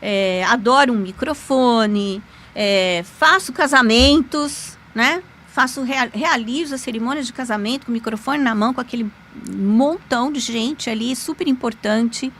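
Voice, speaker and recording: A woman, a podcast guest, telling a personal story in an animated, emphatic way with sudden pitch jumps. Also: unhurried, hardly any pauses, slight background noise. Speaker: podcast guest, lawyer and magic teacher. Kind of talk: conversational storytelling.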